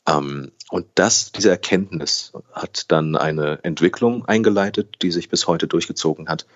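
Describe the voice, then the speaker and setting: A young man in his late twenties speaks in a very deep voice. He answers interview questions thoughtfully, pausing and correcting himself as he goes. The recording sounds a little muffled. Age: 20s. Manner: thoughtful, restarts and self-corrections. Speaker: guest. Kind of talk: conversational interview.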